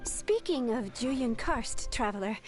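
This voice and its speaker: A woman's voice: fairly high pitch, lively intonation, slow, a little mellow. A woman voicing a dutiful young female secretary in a game who speaks politely and earnestly. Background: game music.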